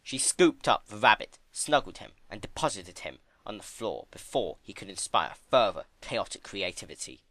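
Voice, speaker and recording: A man's voice: on the low side, very animated, normal speed, few pauses, a bit bright, dry recording. A man narrating a story as a dramatic scripted reading.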